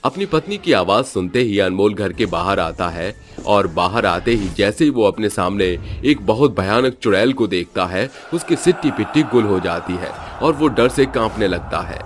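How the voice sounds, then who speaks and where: A man narrating a cartoon horror story in a steady, dramatic way. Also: lowish voice, normal speed, hardly any pauses.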